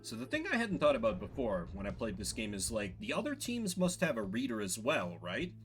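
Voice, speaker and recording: A man streaming a video game, switching between casual commentary and expressive reading of the story text, playful in manner. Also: on the low side, a little fast. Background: game music.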